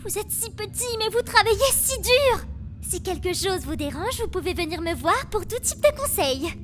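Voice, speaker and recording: Anime dub role, woman in her twenties, acted by a woman: lovesick, airy, fragile, high and bright. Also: animated, moderate pace. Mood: sweet, playful. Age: 20s. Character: gentle, lovesick 22-year-old woman prisoner, fragile. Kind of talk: French anime fan dub monologue.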